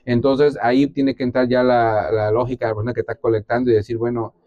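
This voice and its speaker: A man in his forties speaks with a mellow tone at a middling pitch. He explains things as an interviewed expert, sometimes restarting, on a dry, close recording. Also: little pitch movement, fast, no room sound, lo-fi sound. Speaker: biologist, interviewee. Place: small indoor exhibition room. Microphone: clip-on lapel mic.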